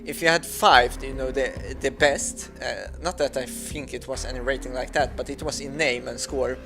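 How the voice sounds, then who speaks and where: A man's voice: medium pitch, fairly even intonation, moderate pace, vocal fry, music in the background. A young man from Sweden with a Swedish accent, whose first language is Swedish, giving casual commentary over a game, hesitant, with many fillers and restarts. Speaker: gaming YouTuber.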